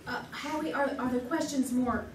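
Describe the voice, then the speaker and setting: A woman's voice: high voice, a little fast, distant mic, close to an everyday voice. A woman playing a nervous bank clerk on a quiz show, hesitant and stammering, in old-time radio stage acting. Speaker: game show contestant. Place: theater stage. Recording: echoey live theater recording with hiss.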